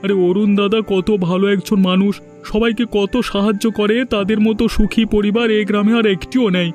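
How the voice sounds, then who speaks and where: A man's voice: high-pitched, little pitch movement, fairly quick, darkish tone, faint music behind, natural voice. A man voicing a loyal, indignant young villager in a cartoon, gossiping emphatically. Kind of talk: cartoon voice acting.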